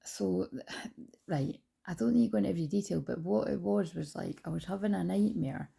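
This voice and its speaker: A woman's voice: lowish voice, fairly quick, gravelly, warm tone, breathy edge. A woman hosting a spiritual channel, chatting casually into a phone she holds at home, rambling with many fillers and restarts. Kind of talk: casual talk to camera. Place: at home beside her dog. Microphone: phone held in hand.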